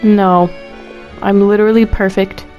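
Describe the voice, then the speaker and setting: A woman's voice: mid-range voice, level tone, unhurried, warm tone, muffled recording, faint music behind. A woman streamer giving casual, sarcastic and exasperated reactions while playing a game. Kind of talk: casual reactive game commentary with read-aloud dialogue choices.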